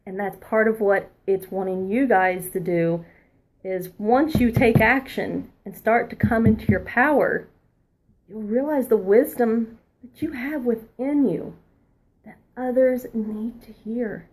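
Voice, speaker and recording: A woman past her twenties giving a spiritual oracle card reading, earnest and encouraging, sometimes dropping into a soft whisper. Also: fairly high pitch, measured pace, rough recording. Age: adult past her twenties.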